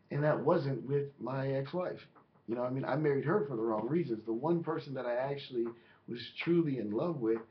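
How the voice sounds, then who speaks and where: A man in his thirties, a minister, talking earnestly and reflectively about his own life, rambling with restarts and fillers. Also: medium pitch. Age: 30s. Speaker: minister and vlogger. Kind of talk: reflective personal monologue. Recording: slightly dull lo-fi audio.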